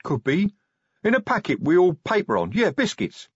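A man's voice: high-pitched, huge pitch swings, moderate pace, slightly rough, no echo, highs cut off, pitched above the performer's own voice, exaggerated voice. A man voicing a skinny, dim-witted, drug-addled troll in a fantasy audiobook, with hesitant, rambling, ungrammatical speech. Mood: befuddled.

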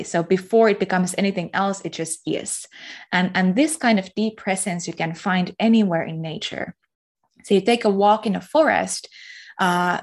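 A woman from Finland, speaking as an interview guest, reflective and pausing often to search for words. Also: fairly low pitch. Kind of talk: casual spiritual interview talk.

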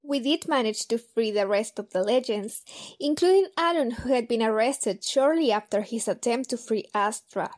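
Woman acting an upbeat, higher-voiced team member. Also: moderate pace, lightly acted. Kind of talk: audio drama narration in character.

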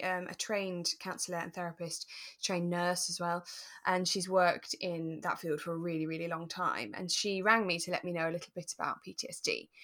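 Casting: female podcast co-host, lower register, thoughtful and tentative, casual conversational delivery. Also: a bit bright. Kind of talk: relaxed casual discussion.

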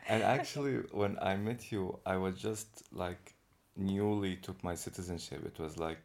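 A young man with a very deep voice and a gravelly, creaky edge speaks in a casual, easygoing way. He is from Saudi Arabia, a guest in a relaxed interview who laughs easily as he tells stories. His delivery is unhurried and conversational. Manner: easygoing, laughs often while telling stories.

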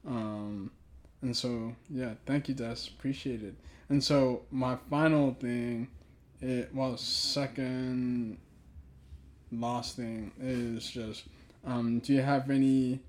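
A man's voice: medium pitch, darkish tone. A man from Ghana whose mother tongue is Twi, hosting a casual, reflective podcast conversation in a hesitant, thoughtful manner. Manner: hesitant, thoughtful, trailing pauses. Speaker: podcast host.

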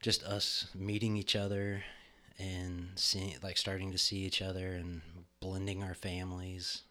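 Middle-aged guy, really low voice, joking around and rambling on a home studio podcast. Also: monotone, a little slow, bright, forceful tone. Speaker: podcast co-host. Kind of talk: casual chat.

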